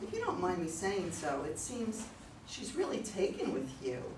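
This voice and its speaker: Worried, apologetic woman client acted by a woman, stage reading, lower pitch, far from mic. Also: wildly swooping pitch, moderate pace. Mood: polite, chatty. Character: worried female client seeking help, apologetic. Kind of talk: amateur staged play reading. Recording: echoey room, distant mic, faint noise.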